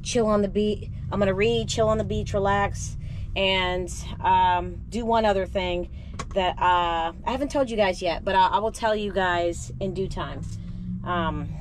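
A young woman vlogging to camera inside her camper van, talking in a relaxed, rambling way, tired, with plenty of fillers. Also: mid-range voice, measured pace, slight background noise. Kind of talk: casual vlog talk to camera.

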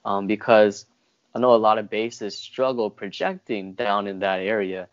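A man's voice: on the low side, steady tone, normal speed. A young man hosting a casual interview over a video call, informal and somewhat hesitant as he talks. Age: young adult. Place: indoor room at home. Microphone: wired earphone mic on video call. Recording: dull, muffled video-call audio.

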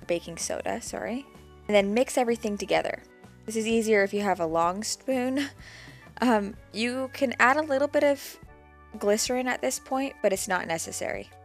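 A woman in her twenties giving a plain, practical step-by-step voice-over for a homemade cleaning recipe. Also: mid-range voice. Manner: plain, practical, walks through each step. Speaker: DIY homesteading vlogger.

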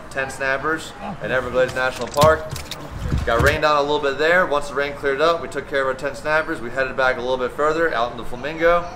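Bright-voiced young fishing captain. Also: male voice, mid-range voice. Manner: relaxed, easygoing recap with outdoor slang. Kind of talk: casual fishing report to camera. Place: outdoor marina dock.

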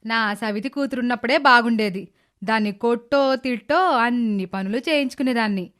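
A woman's voice: fairly high pitch, normal speed, fairly bright tone, lightly acted. A woman voicing a bitter, hot-tempered stepmother who scolds and complains in a dramatized moral story. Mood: bitter, self-pitying. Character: bitter, hot-tempered stepmother, grudging housewife and doting mother.

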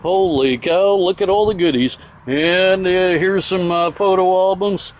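A man with a notably high voice talks through auction items in a casual, unscripted way. His delivery is folksy and comes in short itemizing phrases. He is recorded outdoors on a handheld camera, with faint ambient noise behind him. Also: steady tone, measured pace. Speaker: auction house presenter. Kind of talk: casual off-the-cuff walkthrough commentary of auction items. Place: outdoors in an open-air auction yard. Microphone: handheld camera held by the speaker.

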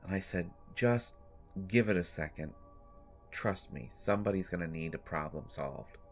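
A man playing a haunted, sleepless brother telling a horror story in first person, with halting, deliberate phrasing and long pauses. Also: deep voice, lively intonation. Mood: uneasy, hushed. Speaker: audio drama storyteller. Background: music.

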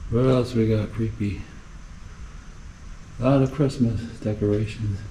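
A man's voice: lowish voice, steady tone, mellow, low-quality recording. A man giving casual, offhand exploring commentary to himself as he walks through an abandoned indoor space. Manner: unhurried offhand remarks to himself. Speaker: urban explorer vlogger. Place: dark indoor basement and kitchen of abandoned plaza. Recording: faint background noise and room ambience.

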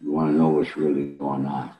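An elderly man talking casually and bluntly as an interview guest, salty and pausing often, on a video call from a room at home. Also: extremely low pitch, flat delivery, mellow. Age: 70s or older. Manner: blunt, salty, with frequent pauses.

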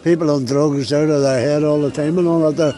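A man's voice: fairly high pitch, fairly even intonation, a little fast, dark tone, slight background noise. An older man, coughing often and talking in a rambling way in a street interview on a busy city street. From Northern Ireland.

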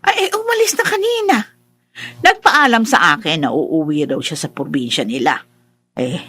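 A woman's voice: deep voice, very animated, moderate pace, bright, forceful tone, breathy edge, dry recording, exaggerated voice. A woman with a Filipino accent playing a bossy, suspicious middle-aged stepmother in a radio drama, scolding and theatrical. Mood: bossy, flustered. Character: haughty, suspicious, bossy mother and cruel stepmother.

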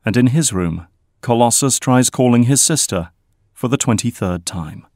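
A man narrating an audio drama in a measured, scripted style. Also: medium pitch, expressive, darkish tone, dry recording, studio quality.

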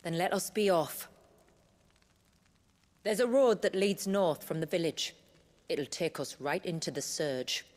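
A woman playing a proud, weary village elder in a video game, with a measured, solemn manner. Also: on the low side, darkish tone, close to an everyday voice. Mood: resolute. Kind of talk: video game cutscene acting. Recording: music playing behind the voice.

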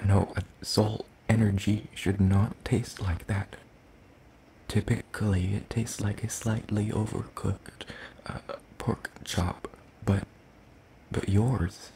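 A man voicing a hungry, soft-spoken demon in a hushed ASMR roleplay, halting and deliberate, sinister yet apologetic. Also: on the low side, creaky, a little hiss or hum. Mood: flustered, apologetic. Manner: slow, halting, hushed delivery with deliberate pauses.

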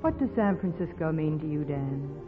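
A woman's voice: sits low, animated, normal speed. An actress playing a devoted, pleading young woman in love, earnest and melodramatic in old radio drama style. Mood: tender. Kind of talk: 1940s radio drama acting. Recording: tinny old radio recording with music.

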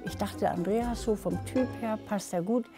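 A woman's voice: medium pitch, normal speed. Chatty older woman in a concert hall. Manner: lively, chatty, laughs often. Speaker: producer of a long-running audio drama series. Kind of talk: casual chat walking through a concert hall. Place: large concert hall, indoors. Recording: music and crowd noise in a big hall.

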